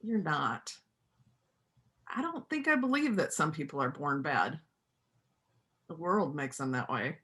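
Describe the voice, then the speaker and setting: A woman plays a principled widowed country animal doctor in a stage reading. Her voice is bright and forceful, and she speaks plainly, with earnest, persuasive conviction and gentle firmness. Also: low voice, expressive, measured pace, pauses often. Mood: earnest, firm. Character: principled widowed country vet, gentle but firm pacifist. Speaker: amateur church play performer. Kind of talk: reader's theater performance.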